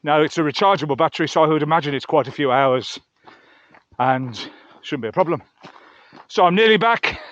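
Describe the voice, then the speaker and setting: A man's voice: a little high, fairly quick, fairly bright tone, dry recording, muffled recording. A man talking casually to camera as an outdoor vlogger, chatty and narrating his own progress on a trail. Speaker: outdoor vlogger and gear reviewer. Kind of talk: casual vlog talk to camera.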